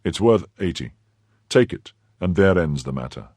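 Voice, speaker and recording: A man voicing a bold young musketeer who speaks in a brisk, commanding way. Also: low-pitched, animated, darkish tone, dry recording.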